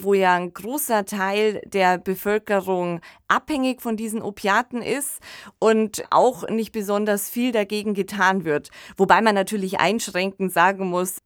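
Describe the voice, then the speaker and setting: A woman's voice: medium pitch, dry recording, studio quality. A woman co-hosting a discussion podcast, explaining things in an articulate, conversational way. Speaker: podcast co-host. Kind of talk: conversational radio discussion of science fiction.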